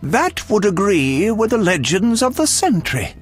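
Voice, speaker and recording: Fussy eccentric alchemist from a video game, high pitched, theatrical, very animated, bit of music behind. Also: male voice, measured pace. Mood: brisk, impatient. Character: eccentric, self-important male alchemist scholar, excitable and obsessive.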